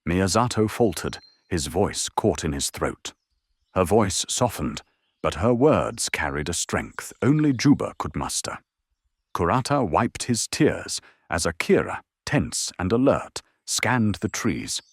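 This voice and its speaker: A man's voice: low voice, sing-song, slow, husky, no echo. A man reading dramatic scripted narration for an anime audio drama. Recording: music under the narration.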